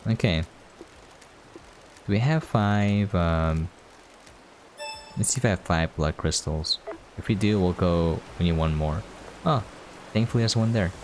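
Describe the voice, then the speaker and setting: Male game commentator, low register, conversational and informal, faint background noise. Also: measured pace, long pauses, a little mellow. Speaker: game reviewer and player, host. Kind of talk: casual gameplay commentary.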